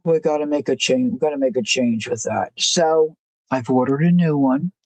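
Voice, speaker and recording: A woman's voice: extremely low pitch, moderate pace, darkish tone, dry recording, poor audio. An older woman hosting a livestream, chatting casually and rambling through a story. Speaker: livestream host. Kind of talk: casual chat.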